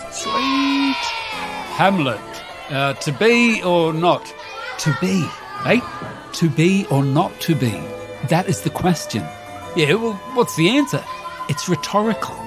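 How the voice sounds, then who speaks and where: A man playing a clueless, slangy teenage boy muddling through Shakespeare. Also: high-pitched. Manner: casual, slangy, quick.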